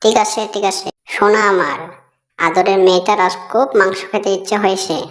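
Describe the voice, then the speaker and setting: A woman's voice: medium pitch, fairly even intonation, moderate pace, natural voice. Woman voicing a doting cartoon mother fox. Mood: affectionate. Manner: affectionate, coaxing. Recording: faint music behind.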